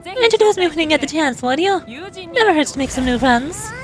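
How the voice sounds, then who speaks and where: A woman's voice: high voice, animated, fairly quick. A woman voicing a bold, confident female warrior leader from a video game, speaking in an assured, rallying and playfully teasing manner. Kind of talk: dramatic voice-over of video game dialogue. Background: music.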